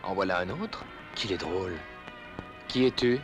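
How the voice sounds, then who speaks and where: An actor playing a calm, amused and reassuring giant man in an old animated film. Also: low voice, moderate pace, soft background music. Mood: gentle curiosity. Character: gentle, amused giant man, a stranded traveller. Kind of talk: 1930s animated film dub.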